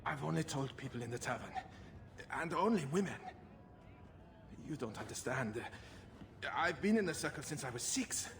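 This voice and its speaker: A man's voice: a little high, lively intonation, normal speed, gravelly, long pauses, a bit bright, slight background noise. An actor playing a naive, hapless runaway mage in his twenties in a video game, nervous and stammering, with boyish bluster. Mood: nervous, sheepish. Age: 20s. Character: hapless, naive young runaway mage, would-be ladies' man.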